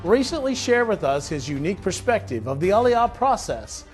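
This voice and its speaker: Male program narrator, high and lively pitch, scripted voice-over. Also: normal speed, faint music behind.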